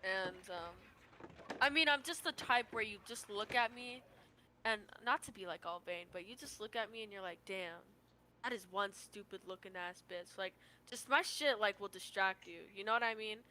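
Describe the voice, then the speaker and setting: A woman's voice: mid-range voice, moderate pace, sharp tone, slight background noise, rough recording. A young American woman telling stories in casual chat, with a slangy, sweary, rambling manner. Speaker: livestreamer.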